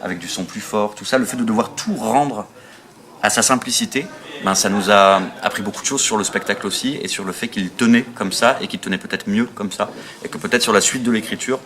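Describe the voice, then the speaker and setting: A man's voice: lowish voice, brisk, a bit bright. A man, a theatre artist, reflecting on his work in a casual, hesitant interview.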